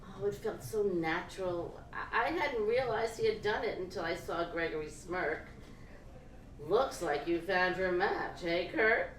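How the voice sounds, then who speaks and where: Slow-paced woman reading fiction, roomy live recording. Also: on the low side. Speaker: reader of fiction at a live event. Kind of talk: expressive literary reading of first-person prose. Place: indoor live reading event. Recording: roomy, distant live-event recording with noise.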